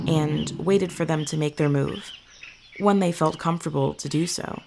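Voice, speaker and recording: A woman playing an earnest young witch who tells her story in a measured way, as in an audio drama. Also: lowish voice, vocal fry, a little hiss or hum. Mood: hushed, reflective. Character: earnest young witch fondly recounting meeting her familiar. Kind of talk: audio fiction monologue, storytelling.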